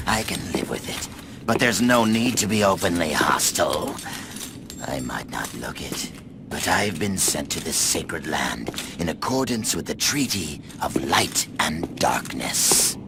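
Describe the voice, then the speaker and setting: An actor playing a cocky, wily goblin assassin in a fantasy game, sly and theatrically taunting. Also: male voice, low voice, big pitch swings, unhurried, creaky, background noise, exaggerated voice. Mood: explaining slyly. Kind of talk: fantasy game cutscene acting. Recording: loud music behind the voice.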